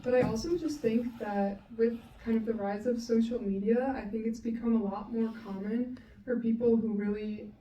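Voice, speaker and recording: Female, twenties, casual explanatory panelist, flat intonation, recorded at a distance in a reverberant room. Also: a little high, moderate pace. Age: 20s. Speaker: film student and panelist. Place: panel event room with ambient noise. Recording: roomy distant mic, faint noise.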